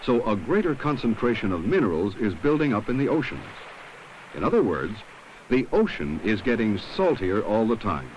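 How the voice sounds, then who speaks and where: A man reading scripted 1970s documentary narration in a measured, instructive way, on an old film soundtrack. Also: fairly low pitch, big pitch swings, lo-fi sound, a little hiss or hum.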